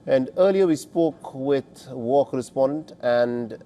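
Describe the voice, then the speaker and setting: A man's voice: medium pitch, unhurried, mellow, slight background noise. A man reading scripted news narration as a presenter, in a formal, emphatic delivery.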